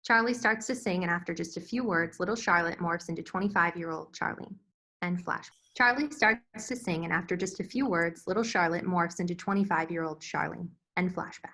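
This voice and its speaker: Female stage-directions reader, crisp bright edge, gravelly fry, scripted screenplay narration. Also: lowish voice, fairly quick.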